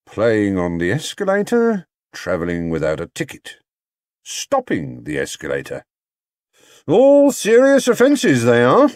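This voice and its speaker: A man's voice: medium pitch, huge pitch swings, unhurried, darkish tone, no room sound, studio quality, pitched above the performer's own voice. A man voicing a stern, officious railway inspector in a storybook, pompous and official in manner. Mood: disbelieving, severe.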